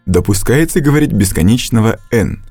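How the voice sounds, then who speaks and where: A man reading scripted narration for a language course, with slow, deliberate instructional delivery and a close studio mic. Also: lowish voice, lively intonation, darkish tone, breathy edge, dry recording, soft background music.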